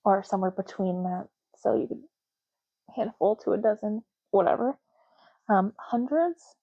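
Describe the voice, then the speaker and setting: A woman speaking softly and unhurriedly in an ASMR roleplay, playing a chatty, matter-of-fact official in short murmured phrases. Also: medium pitch, measured pace, breathy edge, lo-fi sound. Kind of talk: soft-spoken ASMR roleplay.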